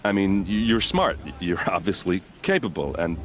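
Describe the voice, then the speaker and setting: Low-voiced breezy, flirtatious charmer. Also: male voice, very animated, moderate pace, a little hiss or hum. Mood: bashful, admiring. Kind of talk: casual dialogue in a fantasy game.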